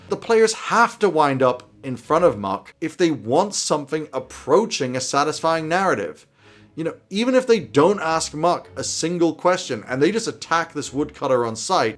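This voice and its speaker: A man in his thirties with a fairly high-pitched voice hosts from a desk, talking straight to the viewer. His delivery is conversational, emphatic and opinionated. There is light music behind him. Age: 30s. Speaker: host of tabletop roleplaying video channel. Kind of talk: conversational advice to camera. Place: small indoor home office.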